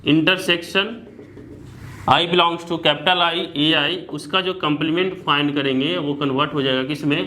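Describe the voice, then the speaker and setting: A man with an Indian accent gives a maths lecture in a slightly higher voice, talking very slowly as he dictates notation word by word. He switches between Hindi explanation and English terms, often restarting phrases. A faint hum sits behind him. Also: fairly even intonation, sharp tone, rough recording. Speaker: maths teacher.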